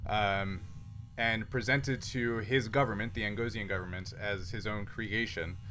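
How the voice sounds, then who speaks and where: A man running a casual tabletop sci-fi roleplay as game master, halting and pausing to find his words. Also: on the low side, fairly even intonation, bright, forceful tone, faint music behind.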